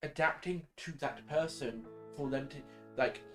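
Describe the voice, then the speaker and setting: A man in a casual discussion, explaining his points haltingly as he thinks aloud. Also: on the high side, a bit bright. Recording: music playing behind the voice. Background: music.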